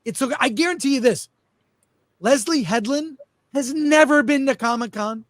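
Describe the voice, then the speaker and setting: A man with a notably high voice hosts a casual talk show. He tells stories with enthusiasm, and his voice is recorded very dry, with no room sound. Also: moderate pace, fairly bright tone, no room sound. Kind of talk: casual panel chat, telling anecdotes.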